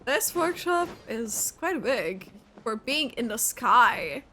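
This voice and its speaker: A woman with a fairly high voice reacts to a video game in a casual, spontaneous way. She takes her time, with animated inflection, and game sound is clearly audible behind her. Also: slow pace, slight background noise. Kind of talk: casual, spontaneous playthrough commentary. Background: video game audio.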